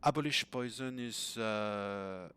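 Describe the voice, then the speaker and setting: Hesitant hoarse man, slow and low. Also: bright, forceful tone. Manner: hesitant, many fillers and restarts. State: hoarse, losing his voice. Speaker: gamer asking for coaching. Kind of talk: casual recorded message to a coach. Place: home room.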